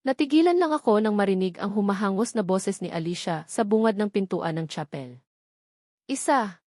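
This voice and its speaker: A woman's voice: mid-range voice, animated, a little fast, warm tone, dry recording, studio quality. A woman with a Filipino accent reading a dramatic first-person novel as an audiobook narrator.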